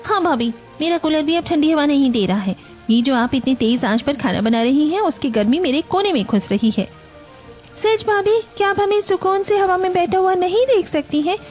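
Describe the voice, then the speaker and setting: Whiny, very high-voiced young Indian sister-in-law, a woman acting. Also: lively intonation, moderate pace, a little mellow, soft background music. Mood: indignant, whining. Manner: whining, demanding, theatrical. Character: selfish, whiny young newlywed sisters-in-law in a village family.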